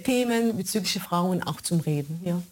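Arabic-accented woman, halting interview speech. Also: lowish voice, lively intonation, a little mellow. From born in Germany, raised in Kuwait and Egypt. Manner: halting, searching for words in non-native German. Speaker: radio show maker being interviewed. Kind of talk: conversational interview answers.

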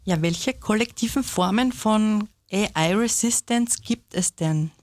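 She is a woman hosting a discussion in a conversational way. Her voice is mid-pitched and she speaks slowly. The sound is dry, without room echo. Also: a little mellow. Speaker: host. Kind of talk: conversational interviewing.

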